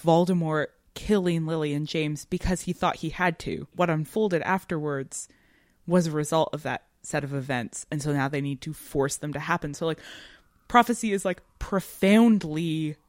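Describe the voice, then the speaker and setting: A woman with a notably low voice co-hosts a discussion podcast in a very dry recording. She speaks conversationally but analytically, leaning on key words and reflecting as she goes in academic turns of phrase. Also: normal speed, a little mellow, dry recording. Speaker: podcast co-host.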